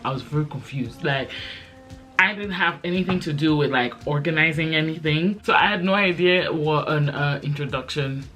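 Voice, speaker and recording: A woman's voice: deep voice, moderate pace, a bit bright. Twenties woman rambling in a chit chat vlog, lots of likes and ums, faint music behind. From Belgium. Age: 20s. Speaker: YouTuber.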